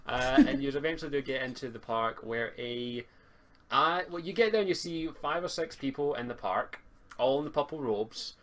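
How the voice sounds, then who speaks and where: A man's voice: medium pitch, animated, normal speed, a bit bright. A Scottish man narrating an online tabletop role-playing game as game master in an informal, casual way.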